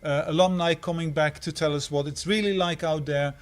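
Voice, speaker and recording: A man from the Netherlands with a slightly high voice speaks as a head of school. He talks haltingly, stopping and restarting his phrases often. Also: steady tone. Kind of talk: answering questions on a livestream.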